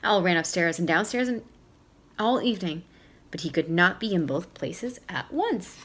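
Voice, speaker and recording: A woman reading a storybook aloud to young children, expressive and playful, in a teacherly way. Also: fairly low pitch, moderate pace. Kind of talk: read-aloud storybook narration with questions to young listeners.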